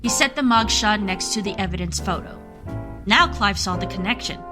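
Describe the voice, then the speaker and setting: A woman's voice: medium pitch, moderate pace, over music. A woman narrator reading scripted storytelling narration for a radio play.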